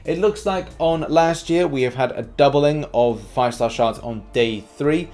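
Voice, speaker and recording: A man's voice: mid-range voice, unhurried, a little mellow, soft background music. A British man hosting an upbeat gaming news update, informal and cheeky, punching the starts of his phrases. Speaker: gaming news YouTuber and host.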